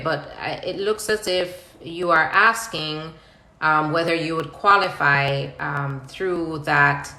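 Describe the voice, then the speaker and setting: Bright-voiced woman advising, echoey room. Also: lowish voice. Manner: reads out viewer questions, then explains step by step. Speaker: immigration attorney hosting a live Q&A. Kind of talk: live question-and-answer advice, conversational. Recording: roomy, echoing live-stream sound.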